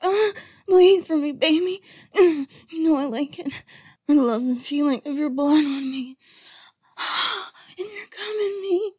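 A woman voicing an unstable, possessive female lover in an audio roleplay, speaking in a breathy, often whispered voice. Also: high voice, animated, a little mellow, no echo. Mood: frenzied, tearful. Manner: slow, intimate delivery that swings to desperate pleading.

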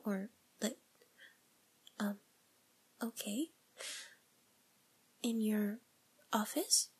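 A woman playing a nervous young woman confessing to a priest, speaking in a breathy whisper with halting, tearful, pleading phrases. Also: fairly high pitch, full character voice. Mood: meek, compliant. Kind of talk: whispered audio roleplay monologue.

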